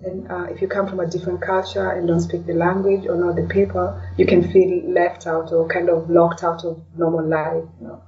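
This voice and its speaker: A woman from Kenya speaking as a podcast guest in a casual, reflective way, often pausing to search for words. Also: on the low side, flat delivery, slight background noise. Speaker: interview guest, blogger and podcaster. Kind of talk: casual conversational interview. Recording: distant, dull lo-fi call sound.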